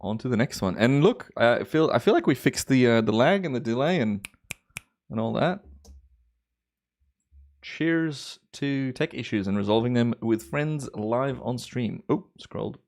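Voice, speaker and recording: A man's voice: mid-range voice, animated, darkish tone. Laid-back Belgian man streaming live. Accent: Belgian, with American and Australian influence. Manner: laid-back, chatty, drawn-out enthusiastic exclamations. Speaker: timelapse livestream host. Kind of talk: relaxed live stream commentary.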